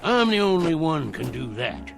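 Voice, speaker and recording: A man's voice: a little high, big pitch swings, normal speed, creaky, faint music behind. An actor playing a sly, boastful old country man with a folksy Southern American drawl in an audio drama. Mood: warning, needling. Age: older. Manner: folksy drawl, cackling, taunting. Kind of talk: old-time radio-style drama acting.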